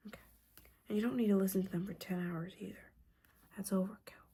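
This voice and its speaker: A woman's voice: mid-range voice, fairly even intonation, brisk, mellow, slightly rough. Whispering, judgmental witch mentor played by a woman. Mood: judgmental, sassy. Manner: scolding, sassy, casual asides. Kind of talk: ASMR roleplay, whispered and softly spoken.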